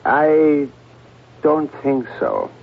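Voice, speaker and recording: An actor playing a skeptical psychiatrist in a radio drama, questioning in a pointed, measured manner. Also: male voice, fairly high pitch, huge pitch swings, creaky, heavily acted. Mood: skeptical, firm. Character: probing, skeptical male psychiatrist. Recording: muffled old radio broadcast.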